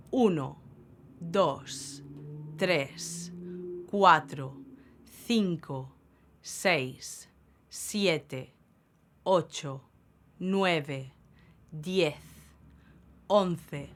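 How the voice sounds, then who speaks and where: A woman hosting a beginner Spanish lesson, saying each word slowly and clearly, then repeating it for learners. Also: on the low side, fairly bright tone. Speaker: Spanish teacher, channel host.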